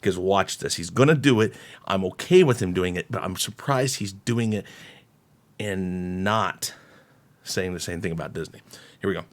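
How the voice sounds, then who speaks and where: A man hosting casual reaction commentary, speaking in a measured way with pauses and throat clearing. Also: deep voice. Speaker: host reacting to a video.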